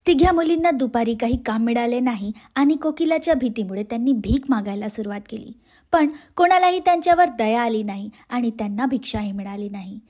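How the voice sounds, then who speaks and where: A woman's voice: on the high side, warm tone. A woman narrating a scripted moral story as the storyteller.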